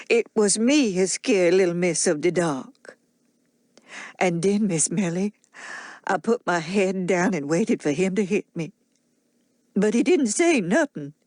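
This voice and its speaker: A woman's voice: mid-range voice, a little mellow, dry recording, clean studio sound. A woman voicing a devoted, frightened old nanny with a heavy Southern US accent and plainspoken dialect.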